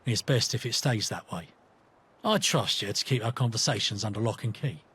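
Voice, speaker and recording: An actor playing a conflicted, earnest British rebel in a video game, talking in a matey, reflective, colloquial way. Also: male voice, lowish voice, moderate pace, a bit bright, slightly rough. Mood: grateful, reflective. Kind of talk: scripted video game companion dialogue.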